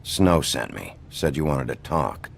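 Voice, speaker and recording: An actor playing a weary, gruff lawman in a game drama, blunt and dry, with a deep gravelly voice. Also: lively intonation, unhurried, a little hiss or hum, heavily acted. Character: weary, gruff male lawman investigating a case. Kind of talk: video game drama acting.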